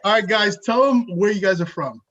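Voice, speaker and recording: High-voiced upbeat male host, dull sound. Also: animated, a little fast, fairly bright tone. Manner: upbeat, jokey banter. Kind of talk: podcast hosting.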